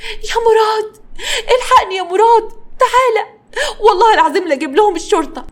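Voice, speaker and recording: A woman voicing a scheming, melodramatic young wife who is theatrical, whiny and quick-tempered in an audio story. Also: sits high, expressive, a little mellow. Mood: shrieking, tearful. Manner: theatrical shrieking and fake crying.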